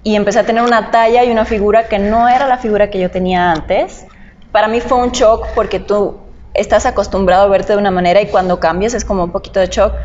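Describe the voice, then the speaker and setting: A woman's voice: mid-range voice, steady tone, fast talker, darkish tone, lo-fi sound, slight background noise. A young woman from Cuba talking casually in a podcast interview, explaining her thoughts in a reflective way. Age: young adult. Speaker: podcast guest, model. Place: indoor podcast set at a table.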